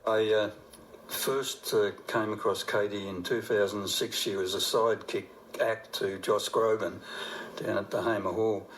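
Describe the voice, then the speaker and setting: A man's voice: fairly low pitch, fairly even intonation, a little slow, poor audio. An older man with an Australian accent, a veteran radio presenter chatting casually on air.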